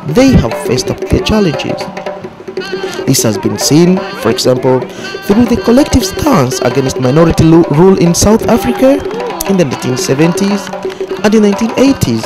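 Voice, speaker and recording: Guy doing a documentary voiceover, lively up and down delivery, music going under it. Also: high voice, moderate pace, low-quality recording. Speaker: narrator. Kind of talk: documentary voice-over. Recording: voice-over with clear background music.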